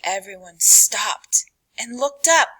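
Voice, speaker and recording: A woman reading a children's picture book aloud in an expressive, dramatic way, with deliberate pauses and hushed phrase endings. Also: on the high side, breathy edge, poor audio.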